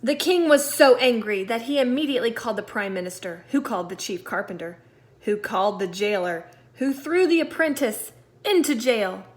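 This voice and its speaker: Woman, slightly high pitch, primary teacher, storybook read-aloud, expressive, deliberate phrasing, stressed key words. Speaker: second-grade teacher.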